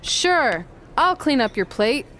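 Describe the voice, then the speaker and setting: A sensible young female wrestler in a game cutscene. Her voice is a little high, with faint noise behind it. She needles like a protective, wry best friend. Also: very animated, takes it slowly, a bit bright, full character voice. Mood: teasing. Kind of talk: video game cutscene acting.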